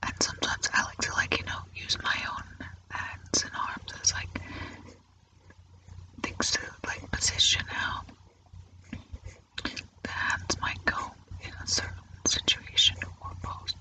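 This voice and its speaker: Slow, whispering male ASMR artist. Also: very high-pitched, completely flat delivery, poor audio. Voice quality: unvoiced breathy whisper. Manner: halting, musing to self while drawing. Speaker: artist narrating own drawing. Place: indoors at a drawing easel.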